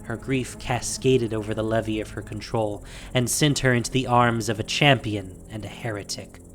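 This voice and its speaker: A man's voice: fairly low pitch, steady tone. Man narrating dramatically over faint music. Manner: measured, dramatic storytelling. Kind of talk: dramatic scripted fantasy narration.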